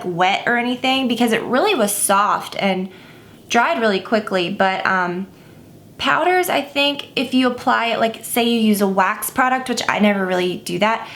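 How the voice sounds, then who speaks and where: A woman's voice: a little high, fairly bright tone. A woman giving a casual makeup tutorial, chatty and rambling with many fillers, a bit stuffy and scratchy. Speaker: makeup vlogger.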